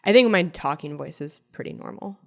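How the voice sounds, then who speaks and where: A woman comedian co-hosting a podcast in casual chat, with a low voice and a talky style full of "like" fillers. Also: animated, brisk, creaky. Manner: chatty, many "like" fillers.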